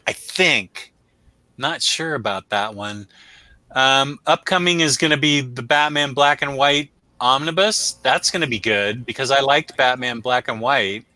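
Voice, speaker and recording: A man's voice: mid-range voice, unhurried, bright, forceful tone, dry recording. A man hosting a comic book review show in casual livestream chat, rambling along in a self-deprecating way.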